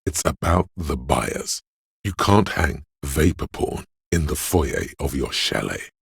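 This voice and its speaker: Slick, cocky game-character hustler: extremely low, very husky bass, theatrical money-hungry swagger. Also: male voice, animated, slow pace, dry recording, studio quality, full character voice. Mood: smug, scornful. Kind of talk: video game voice acting, dramatic narration.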